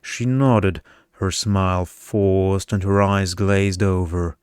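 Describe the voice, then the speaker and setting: Deep, slow-reading male ASMR storyteller. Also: steady tone, darkish tone, clean tone, dry recording, clean studio sound. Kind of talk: ASMR bedtime story narration.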